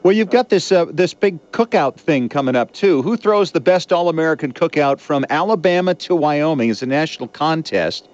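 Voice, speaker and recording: Higher-pitched male radio host, muffled sound. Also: expressive, moderate pace, few pauses. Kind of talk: casual radio interview with station plugs. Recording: dull lo-fi radio aircheck.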